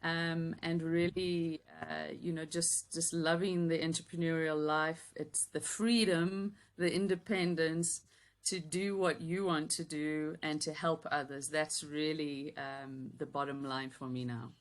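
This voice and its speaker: A woman in her fifties from Yorkshire, now a business coach, with a softened Yorkshire accent, talking as a guest in a relaxed, chatty way with many ums. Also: low-pitched, unhurried. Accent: Yorkshire English softened by South African years. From Yorkshire, England. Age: 50s. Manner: warm, chatty, thoughtful with frequent ums and light laughter. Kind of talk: casual interview chat.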